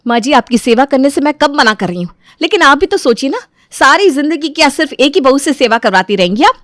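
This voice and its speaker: A woman's voice: high-pitched, lively intonation, a little fast, a bit bright, no room sound, studio quality. Grumbling, resentful elder daughter-in-law, acted by a woman. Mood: pleading, firm. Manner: sarcastic, stubborn, argumentative. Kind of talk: dramatized Hindi moral story.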